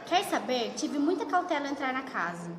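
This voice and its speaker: Amateur radio play role: actress as anxious, pleading secret lover, high female voice, noisy recording. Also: sing-song, a little fast. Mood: pleading, earnest. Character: anxious, pleading married woman in a secret affair. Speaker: student actor in a school radio play. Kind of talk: amateur radio drama acting. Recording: noisy, echoey hall recording.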